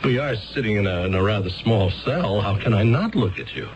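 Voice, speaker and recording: A man's voice: on the low side, expressive, fairly quick, a little hiss or hum. A flustered male defense lawyer in a 1970s radio play. He stammers with incredulity, his voice swinging widely up and down. The sound is dull, like an old broadcast. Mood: flustered, exasperated. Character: flustered, exasperated, smitten male defense attorney. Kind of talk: 1970s radio drama acting.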